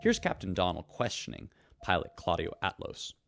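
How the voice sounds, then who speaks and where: An actor playing a chatty, upbeat radio host on a ship, presenting news in a breezy, conversational way. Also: male voice, deep voice, wildly swooping pitch, moderate pace, vocal fry, fairly bright tone, exaggerated voice.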